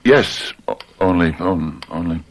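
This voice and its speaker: An actor playing a guilt-ridden, anxious murderer in old-time radio drama style, halting and stammering as he repeats himself. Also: deep voice, slight background noise. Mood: nervous, distracted. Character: guilt-ridden anxious man who has committed murder, confessing and faltering.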